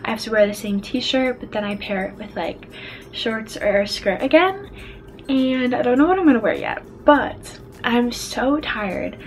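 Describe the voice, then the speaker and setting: A woman's voice: fairly high pitch, animated, measured pace, faint music behind. A young woman in her dorm room, chatting bubbly to a handheld camera at arm's length, full of "like" fillers. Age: young adult. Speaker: vlogger. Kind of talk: casual vlog chat. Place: small college dorm room, indoors.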